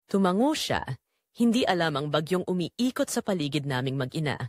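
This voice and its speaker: Dry-sounding woman narrating with lively pitch. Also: a little fast, no echo. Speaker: narrator of audio drama. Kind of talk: first-person dramatic story narration.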